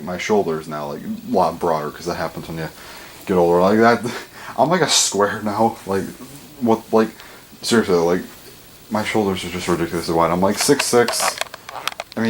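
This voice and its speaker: Teen male vlogger, seventeen, fairly low voice, rambling casual delivery with frequent fillers and swearing, faint hum behind. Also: poor audio. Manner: pitch jumps up often when stressing a word.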